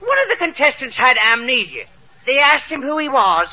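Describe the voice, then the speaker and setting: A man's voice: very high voice, very animated, moderate pace, pushed and bright, full character voice. Piercing, blustery Irishman. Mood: indignant. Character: blustery, indignant comic Irishman. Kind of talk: 1940s radio comedy acting. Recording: dull old radio recording.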